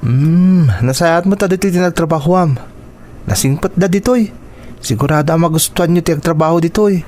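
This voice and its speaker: A man's voice: a little high, moderate pace, darkish tone, natural voice. Man acting a friendly young Ilocano suitor. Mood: friendly, joking. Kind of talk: radio drama acting.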